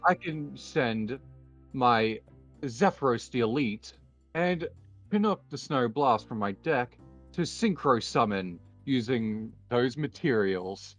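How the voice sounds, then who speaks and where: A man speaking in a measured, understated way as he plays a roleplay card duel and casually announces his moves. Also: medium pitch, lively intonation. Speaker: card game duelist player. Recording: dull lo-fi mic, faint music behind.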